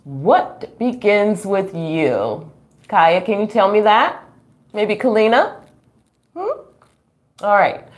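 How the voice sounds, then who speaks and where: A middle-aged woman reading a children's book aloud at home, expressive and playful, with chatty asides to young listeners. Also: medium pitch. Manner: expressive, playful, drawn-out reading, frequent chuckles and gasps. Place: small room at home.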